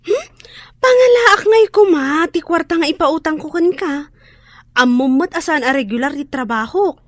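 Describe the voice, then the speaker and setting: An actress playing a blunt, scolding friend in a radio drama, speaking with an Ilocano accent. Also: female voice, high voice, animated, moderate pace, no room sound. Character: blunt, scolding woman friend refusing to lend money.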